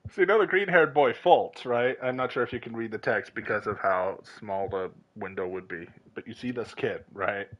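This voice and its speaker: A man's voice: on the low side, moderate pace, few pauses, fairly bright tone, poor audio. A young man giving casual, playful gameplay commentary in a rambling, incredulous way. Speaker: game streamer.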